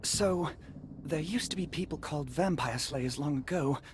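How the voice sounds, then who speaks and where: A woman's voice: low voice, normal speed, noisy background, close to an everyday voice. A woman playing an earnest, anxious magic user in a video game, speaking in a dramatic, explanatory manner. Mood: earnest, urgent. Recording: music under the voice.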